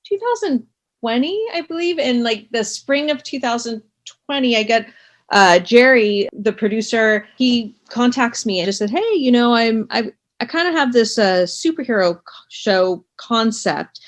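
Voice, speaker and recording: A woman being interviewed, telling stories casually in a chatty, expressive and self-effacing way. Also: fairly high pitch, normal speed, no room sound. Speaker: interview guest, audio drama writer. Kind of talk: casual conversational storytelling.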